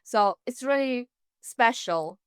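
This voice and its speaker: A young woman from Inner Mongolia, whose first language is Mongolian, speaks as a podcast guest. Her voice is very clear and crisp, with a dry, close sound. She pauses often on "uh" and laughs lightly between thoughts. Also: fairly high pitch, lively intonation, no echo. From Inner Mongolia, China. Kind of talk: casual interview talk.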